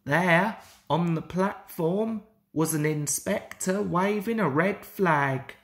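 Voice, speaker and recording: A woman reading a children's story aloud in an expressive, deliberate way. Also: on the low side. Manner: slow, deliberate, animated delivery. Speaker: storybook reader.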